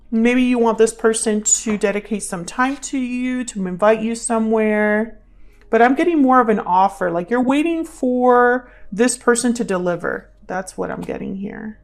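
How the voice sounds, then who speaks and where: A woman hosting a tarot reading in a casual, intuitive style, thinking aloud and often repeating her questions and phrases. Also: mid-range voice. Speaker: tarot reader, channel host.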